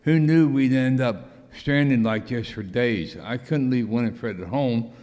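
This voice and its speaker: A man's voice: mid-range voice, measured pace, a little mellow, lightly acted. A man playing a fussy, protective castaway in an amateur radio comedy skit. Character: fussy, protective male castaway devoted to pet. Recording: echoey hall.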